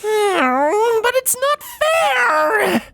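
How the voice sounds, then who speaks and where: A man's voice: very high voice, very animated, drawn-out words, creaky, bright, forceful tone, voice pushed up, full character voice. A man voicing a sulking female pony princess, whining and mumbling through a fan fiction story. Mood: whining protest.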